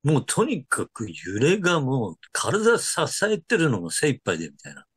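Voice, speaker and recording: Husky, very animated speaker chatting. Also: mid-range voice, moderate pace, no room sound. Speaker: interviewee. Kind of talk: casual recollection.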